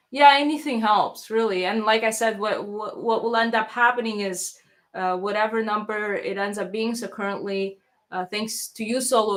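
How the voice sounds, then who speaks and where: A woman's voice: medium pitch, fairly bright tone. A woman who grew up in Toronto talks in a mixed accent with a faint Russian trace. She chats casually on a livestream and rambles, with plenty of "like" and "um" and sentences that restart midway. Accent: mixed Toronto accent with a slight Russian trace. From Russia, raised in Toronto, Canada. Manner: rambling, chatty, with many fillers and restarts. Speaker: livestream host thanking donors.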